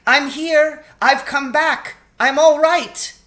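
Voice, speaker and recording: A kind young girl from a storybook, acted out by a man speaks in a raised, light pitch. She is earnest and polite, with an anxious edge. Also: fairly even intonation, slow, sharp tone, heavily acted. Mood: excited.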